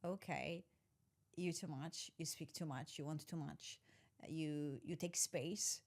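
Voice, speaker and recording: A woman's voice: low-pitched, slow. A woman in her forties, from Poland with Polish as her first language, talking reflectively as a podcast guest, searching for words and correcting herself. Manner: reflective, searching, self-correcting, soft laughs. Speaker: guest, executive coach. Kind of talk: conversational interview.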